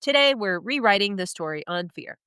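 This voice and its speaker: A woman's voice: mid-range voice, animated, brisk. Nasal-voiced motivational podcast host woman. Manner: motivational, emphatic with rhetorical questions. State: nasal from a cold. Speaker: podcast host and career coach. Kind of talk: scripted solo podcast monologue.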